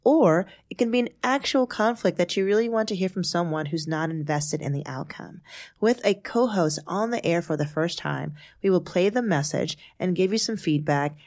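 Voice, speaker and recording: A middle-aged woman hosts a podcast from a studio, and her voice sits a little low. She talks in a bubbly, effusive way and laughs often with her guest. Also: expressive, normal speed, darkish tone. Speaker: podcast host. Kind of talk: casual podcast chat.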